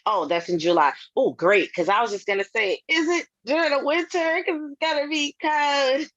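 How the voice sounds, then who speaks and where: A woman's voice: sits high, animated, moderate pace, a bit bright. Older woman on muffled, lo-fi audio. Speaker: team member in a meeting. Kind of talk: casual chat, asking questions. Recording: dull lo-fi call audio.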